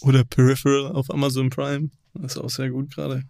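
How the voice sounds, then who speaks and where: A man's voice: medium pitch, level tone, fairly quick, mellow, no echo, studio quality. A man chatting casually as a podcast guest, informal and joking, with hesitant starts. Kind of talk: casual chat.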